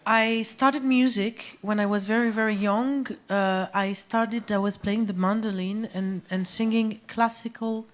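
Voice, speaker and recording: A woman's voice: medium pitch, steady tone, unhurried, few pauses. Woman, musician guest, hesitant, many fillers, live venue stage. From Algeria, raised in France. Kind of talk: live on-stage interview, casual chat.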